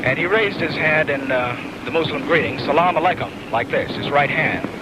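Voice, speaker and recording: A man giving a halting eyewitness account in a news interview, searching for words, on old newsreel footage. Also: fairly high pitch, very noisy.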